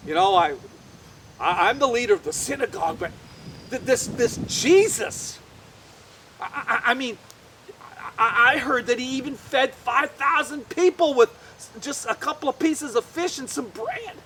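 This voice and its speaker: A woman's voice: sits high, expressive, moderate pace, noisy background. A woman voicing a desperate father who leads a synagogue, stammering and urgent, in a children's Bible story. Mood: worried. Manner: stammering, urgent, rising to loud pleading. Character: desperate middle-aged father, synagogue leader, pleading.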